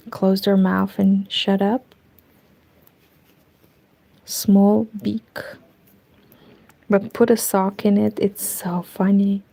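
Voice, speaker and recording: Woman, Romanian accent, casual knitting stream, rambling, half-whispered asides, gravelly. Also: medium pitch, mellow. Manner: rambling, talks to herself, drifts into whispers. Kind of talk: casual chat while knitting. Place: home room with curtains.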